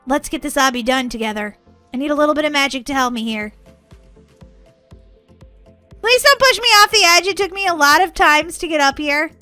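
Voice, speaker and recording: A woman giving playful, excitable gameplay commentary in a childlike way as host of a gaming video. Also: sits high, a little fast, long pauses, dry recording, faint music behind.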